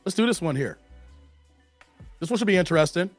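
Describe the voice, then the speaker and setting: A hoarse man hosting a casual livestream, slangy and chatty, greeting viewers by name. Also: high voice, expressive, fairly quick, no echo, soft background music. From Chicago area, Illinois. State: hoarse, losing his voice. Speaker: livestream host.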